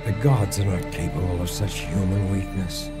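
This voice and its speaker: An actor narrating an ancient Greek legend as a solemn, portentous storyteller in a dramatic game cutscene. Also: male voice, deep voice, fairly even intonation, moderate pace, natural voice. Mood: grave, portentous. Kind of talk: dramatic scripted narration of an epic. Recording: music under the voice.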